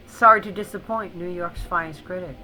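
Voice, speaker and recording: A woman's voice: on the low side, brisk, low-quality recording. A woman voicing an earnest, plain-spoken artist, the heroine of a visual novel. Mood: wry. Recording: loud music over the voice.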